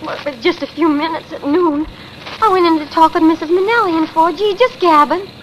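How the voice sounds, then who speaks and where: A high-pitched, fretful young American wife in a vintage radio play. She is simple and devoted, with breathless colloquial speech. The old recording carries some hiss. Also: a little fast, low-quality recording. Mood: worried, meek. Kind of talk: 1950s radio drama acting.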